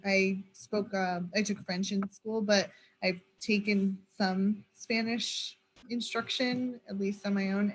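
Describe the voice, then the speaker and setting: Woman, interview host, casual, friendly, stumbles and restarts, mid-pitched voice. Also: a bit bright, rough recording. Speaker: podcast host.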